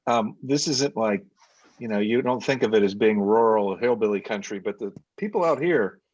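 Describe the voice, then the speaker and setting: A man's voice: fairly low pitch, normal speed, darkish tone, no echo, lo-fi sound. A man with a Northeastern American accent, chatting casually as a podcast host and telling rambling stories. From United States. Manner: talkative, rambling storyteller. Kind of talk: casual chat. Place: home studio in South Jersey.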